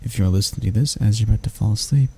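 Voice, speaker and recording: A man's voice: fairly low pitch, rapid-fire, dark tone, slightly rough. A man, an audio roleplay creator, chatting casually to his listeners as he signs off. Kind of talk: casual channel sign-off.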